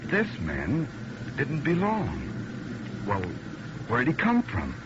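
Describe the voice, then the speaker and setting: A man's voice: medium pitch, huge pitch swings, takes it slowly. An actor playing a dazed, uneasy city man telling an eerie tale in old radio drama style, earnest and haunted. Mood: bewildered, urgent. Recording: muffled old radio recording, faint noise.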